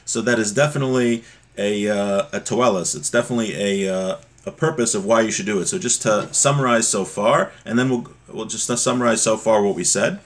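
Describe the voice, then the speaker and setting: Middle-aged man teaching, conversational and halting. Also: lowish voice. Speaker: religious teacher. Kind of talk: informal religious text lesson, explaining with examples. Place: small home room, indoors. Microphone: webcam or laptop mic at desk distance.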